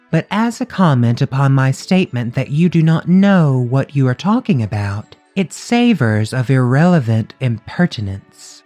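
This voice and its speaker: A male narrator voicing a haughty drowned maiden ghost with a gurgling voice, speaking slowly and loftily. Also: a little high, darkish tone, pitched above the performer's own voice. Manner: slow, lofty and reproachful. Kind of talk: audiobook character voice.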